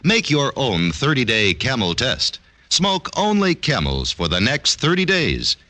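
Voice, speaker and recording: A man's voice: medium pitch, huge pitch swings, unhurried, pushed and bright. A man working as an announcer, reading a scripted commercial in 1940s radio style. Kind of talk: 1940s radio advertisement read.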